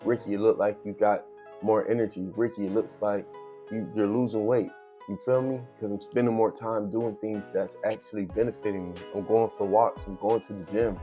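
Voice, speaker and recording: A man's voice: lowish voice, warm tone. A man in his thirties giving an unscripted motivational monologue, speaking emphatically in street slang, addressing the listener directly and repeating phrases to drive them home. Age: 30s. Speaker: vlogger giving a motivational talk.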